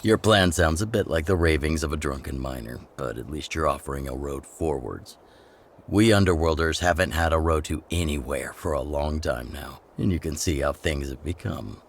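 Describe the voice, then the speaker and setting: A middle-aged man playing a measured, pragmatic, authoritative older leader of an underground resistance in a video game. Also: extremely low pitch, slight background noise. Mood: measured, resolute. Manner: measured, authoritative, plain-spoken. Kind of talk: video game dialogue acting.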